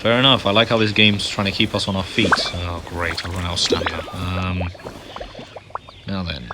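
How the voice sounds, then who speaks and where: A man's voice: low voice, flat delivery, normal speed, very noisy. Chatty gamer man muttering over gameplay. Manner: chatty, muttering asides to himself. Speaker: gamer playing through a story quest. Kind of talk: casual let's-play commentary. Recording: commentary over loud game audio.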